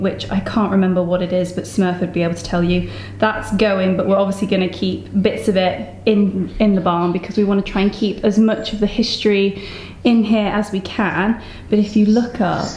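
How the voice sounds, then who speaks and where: A woman's voice: mid-range voice, warm tone. A woman in her thirties with an English accent, chatting casually and enthusiastically as she vlogs. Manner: enthusiastic, gushing. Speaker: vlogger. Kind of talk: casual vlog tour, chatty. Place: home room, car, brick barn and yard. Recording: echoey rooms, noticeable background noise.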